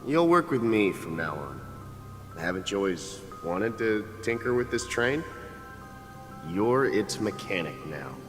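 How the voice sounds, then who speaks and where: A man's voice: lowish voice, big pitch swings, a little slow. An actor playing a senior male train crewman in a video game, a probing, assured mentor. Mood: assured, encouraging. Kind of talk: video game drama acting. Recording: reverberant hall, music behind.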